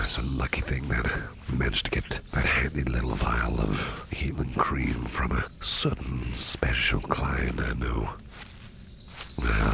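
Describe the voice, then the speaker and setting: Man acting a very deep, gravelly, doting boss. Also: noisy background, full character voice. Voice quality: gravelly, breathy half-whisper. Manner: soothing, unhurried, repeats reassurances. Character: sinister yet protective businessman boss, male, smooth-talking, doting. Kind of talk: ASMR roleplay.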